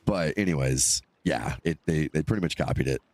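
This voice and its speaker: A man's voice: extremely low pitch, moderate pace, a little mellow, no room sound. A male streamer chatting casually with his viewers while he plays, stuffed up from a cold and rambling between topics. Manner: rambling, chatty, answers chat comments. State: stuffed-up nose. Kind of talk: casual live-stream chat while gaming.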